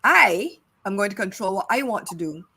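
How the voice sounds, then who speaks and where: A woman's voice: mid-range voice, animated, normal speed, bright, forceful tone, slightly rough. A middle-aged woman from Barbados with a Barbadian accent, co-hosting a casual discussion and repeating her points for stress. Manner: emphatic, repeats phrases for stress. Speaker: podcast co-host.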